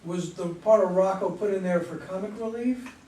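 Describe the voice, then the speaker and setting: A man's voice: sits high, moderate pace, warm tone. A man in an audience giving informal critical feedback during a group discussion. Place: room at a live play reading. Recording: very echoey room, far from mic, faint noise.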